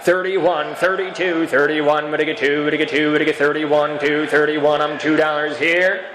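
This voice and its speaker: A man auctioneering at a fairground livestock sale, rattling off bids in a fast rolling chant. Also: fairly high pitch, flat delivery, background noise. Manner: rapid rolling bid chant. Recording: echoey barn with crowd. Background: crowd at the sale.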